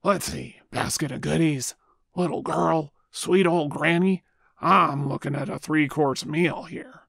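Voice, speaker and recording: A sly, hungry big bad wolf from a children's puppet show, played by a woman. The voice is low and full of gravel, drawling out every scheming, falsely polite line at a slow pace. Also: dry recording, clean studio sound, heavily acted.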